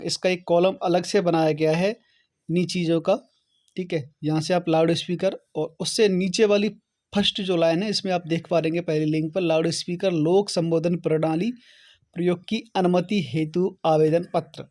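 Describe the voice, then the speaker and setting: A man's voice: sits high, a little mellow. A man hosting an online how-to channel, explaining things step by step to viewers and often adding a short check that they follow. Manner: step-by-step, repeatedly checking with "okay". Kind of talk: explanatory tutorial talk to viewers.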